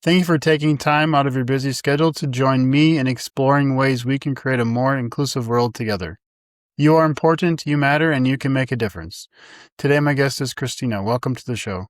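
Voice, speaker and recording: A male podcast host with a middle-pitched voice speaks in a friendly, easygoing way and wanders off topic now and then. The sound is close and dry, with no room echo. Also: a little fast. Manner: friendly, casual, a bit rambling. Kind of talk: podcast interview.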